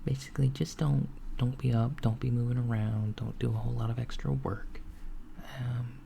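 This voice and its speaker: A man voices a calm, attentive alien doctor who speaks softly and hesitantly, pausing on ums and slipping into whispers. The voice is heavily gravelly and holds a flat, level pitch. A steady hiss runs under the lo-fi recording. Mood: gentle. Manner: soft-spoken, unhurried, slipping into whispers. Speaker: ASMR roleplay creator. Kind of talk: softspoken ASMR roleplay.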